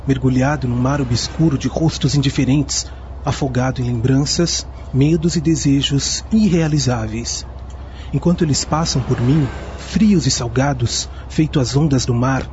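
A man's voice: medium pitch, moderate pace, darkish tone, close to an everyday voice. A man playing a dreamy, smitten teenage boy in a radio soap. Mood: melancholy reciting. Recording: muffled lo-fi, vehicle noise.

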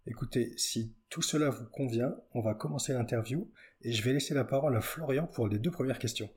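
A man's voice: mid-range voice, brisk, a little mellow. A man hosts the interview. He reads out a prepared introduction in a polite, formal way, stopping now and then to correct a slip. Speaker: podcast host. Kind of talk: scripted introduction.

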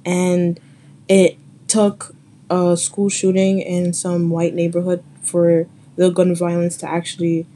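A young woman speaks as a panel guest, pausing to find her words. Her tone is mellow and dark, low and even in pitch. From the Bronx, New York City. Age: young adult. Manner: hesitant, searching for words.

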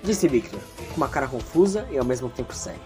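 Man narrating an audio drama, lively pitch. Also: fast, music in the background.

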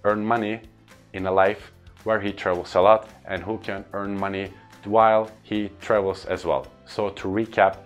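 A man's voice: on the low side, little pitch movement, normal speed, soft background music. A man from Turkey with a Turkish accent, a trainer and speaker talking earnestly to camera in an upbeat casual vlog. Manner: earnest, upbeat, repeats lists for emphasis.

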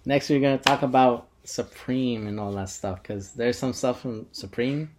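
A man's voice: mid-range voice, moderate pace. A young man co-hosting a casual podcast from a room, joking around and laughing often into a desk mic. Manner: laughs often, banters loosely. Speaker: podcast co-host. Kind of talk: casual chat. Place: indoor room.

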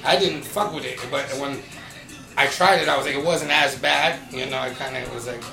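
A man's voice: mid-range voice, brisk, creaky. A man telling loose, profane stories in a casual chat among friends. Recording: echoey room with music under it.

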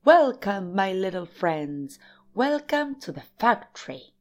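A woman voicing an effusive, excitable little eccentric male factory owner in a high, fluty storybook voice. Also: creaky. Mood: welcoming. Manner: effusive, exclamatory, polite, rapid repeated phrases. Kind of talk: storybook character voice.

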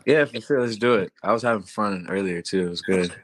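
A young man from Florida, born in Haiti, a rapper and songwriter talking casually as a podcast guest in a relaxed, slangy way. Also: fairly low pitch, fairly quick.

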